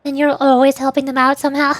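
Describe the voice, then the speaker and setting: A woman with a very high voice talks casually to her livestream audience during a game. She is playful and giggly, fond of light teasing. The sound is dry and close, with very little room in it. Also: monotone, fast talker, no room sound. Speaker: VTuber livestream host. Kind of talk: casual chat with stream viewers while playing a game.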